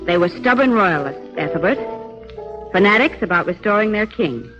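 An actress playing a brisk, eager American newspaper reporter in a 1940s radio crime drama. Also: female voice, medium pitch, lively intonation, lightly acted. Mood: explaining. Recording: muffled 1940s radio recording with music.

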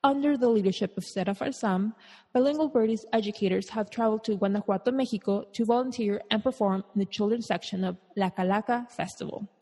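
Breathy-voiced woman podcast host. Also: medium pitch, fast talker. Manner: reads the guest introduction at a steady pace, mixing in Spanish words. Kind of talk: scripted intro and interview. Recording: long reverb.

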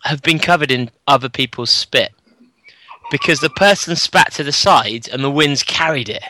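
Bright-voiced man, casual podcast chat. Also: mid-range voice, normal speed, a little hiss or hum, poor audio. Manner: laughing, frank explainer. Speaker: podcast co-host.

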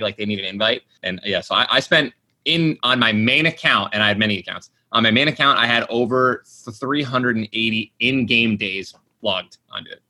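A man's voice: fairly low pitch, pushed and bright. A man in his late twenties chatting casually, rambling with lots of fillers and restarts. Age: 20s. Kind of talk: casual chat.

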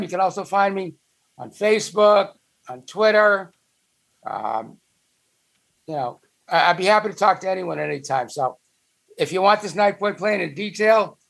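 A man's voice: high-pitched, gravelly, a bit bright. A middle-aged man with a Boston accent, talking as an interview guest in a friendly, rambling way. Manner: friendly, rambling, eager to help. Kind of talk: casual interview talk.

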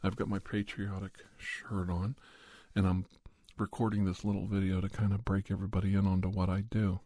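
A man who makes ASMR videos, talking casually to camera from home into a condenser studio mic, his voice worn down by a cold and his talk unhurried and meandering. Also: sits low, level tone, dry recording. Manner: unhurried and meandering, soft at times. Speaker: ASMR channel creator and podcaster. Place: at home, indoors. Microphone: large condenser studio mic.

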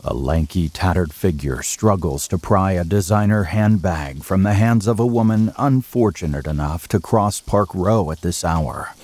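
A man narrating an audio drama from a script in a measured, serious, literary manner. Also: low-pitched, darkish tone, slight background noise.

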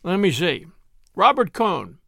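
A man's voice: sits high, huge pitch swings, a little slow, vocal fry, a bit bright, no room sound, full character voice. A man voicing a laconic, dry, grumbling male writer in audiobook dialogue, terse and unimpressed. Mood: dry, weary.

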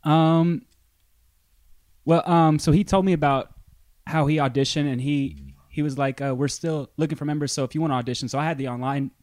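A man's voice: a little high, fairly even intonation, brisk, darkish tone, no room sound. Easygoing young man, dry close sound. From Dallas, Texas. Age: young adult. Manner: easygoing, hesitant with ums. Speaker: boy band singer, guest. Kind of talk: casual interview chat.

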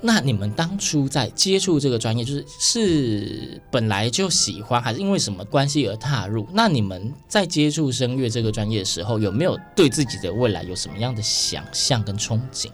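A man's voice: mid-range voice, very animated, fairly quick, hardly any pauses. A man with a Taiwanese Mandarin accent hosting a radio interview in an informal, playful, chatty way. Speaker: radio show host. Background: music under the talk.

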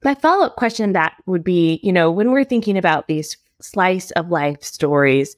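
A woman's voice: lowish voice, lively intonation, normal speed, no room sound, clean studio sound. A woman from Miami hosting a podcast, chatting casually and giving her guest many affirming "yeah"s. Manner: friendly, lots of backchanneling. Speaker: podcast host.

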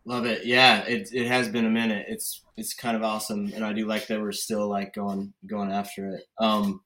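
A man's voice: on the low side, flat delivery, normal speed, sharp tone. A man in his thirties from Connecticut, talking casually about his own life as a podcast guest. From Connecticut, United States. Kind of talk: casual chat, personal storytelling.

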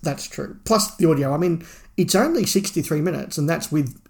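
Creaky-voiced man chatting as podcast co-host. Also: a little high, expressive, moderate pace, a little mellow. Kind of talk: casual discussion and review.

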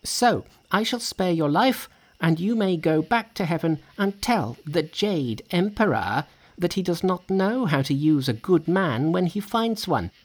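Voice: medium pitch, sing-song, unhurried, vocal fry, voice pushed up, heavily acted. A narrator of unclear sex, voicing a brash, boastful, scornful monkey king in a storybook tale. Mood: angry, boastful. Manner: scornful, challenging. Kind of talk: dramatised storybook reading.

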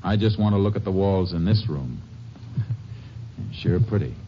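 An actor playing a calm, firm lawman with a dry wit, who also narrates in a 1950s radio drama. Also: low-pitched, normal speed, a little hiss or hum. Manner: calm, firm, dryly sardonic. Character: steady, dry-witted male Texas Ranger. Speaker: narrator and lead character. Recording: muffled old radio broadcast.

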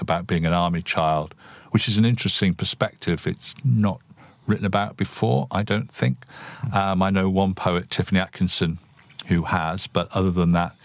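A man's voice: low-pitched, no room sound. A man speaking as a poet and interview guest, giving thoughtful, analytical answers in a reflective conversational style.

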